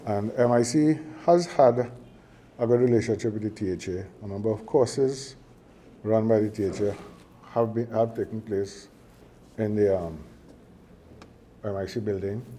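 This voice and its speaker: Older trini guy rambling through a ceremony speech, jokey, lowish soft voice. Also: moderate pace, pauses often, a little hiss or hum. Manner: chatty, joking, meandering with many fillers and restarts. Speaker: university chairman at signing ceremony. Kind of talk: formal ceremonial speech with personal anecdotes.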